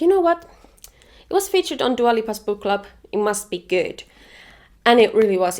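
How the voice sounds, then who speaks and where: A woman's voice: mid-range voice, darkish tone. A young woman from Finland whose first language is Finnish, chatting casually and eagerly about books on her vlog. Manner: enthusiastic, opinionated, chatty. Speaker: book vlogger. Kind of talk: casual book review chat.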